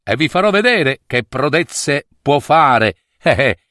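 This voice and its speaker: A man's voice: a little high, sing-song, takes it slowly, sharp tone, dry recording, clean studio sound, heavily acted. Man playing a cocky, boastful storybook barber. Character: cheeky, boastful barber with guitar, wooing a princess.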